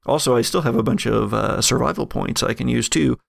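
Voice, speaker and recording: A man's voice: mid-range voice, a little fast, vocal fry, no room sound, clean studio sound. A man acting as game master, improvising narration for a tabletop horror game.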